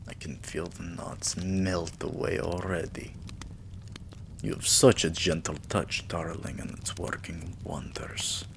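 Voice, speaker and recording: A man playing a regal, possessive, doting vampire king in an intimate ASMR roleplay, with lordly, drawn-out phrasing and murmurs. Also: low voice, gravelly, background noise, full character voice. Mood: soothed, tender.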